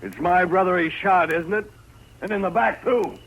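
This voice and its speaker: A man's voice: sits high, normal speed, a bit bright, breathy edge, exaggerated voice. An American man acting in a 1950s radio western as a cruel, vengeful leader of a lynching, taunting and menacing. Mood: angry. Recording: noisy vintage radio drama recording.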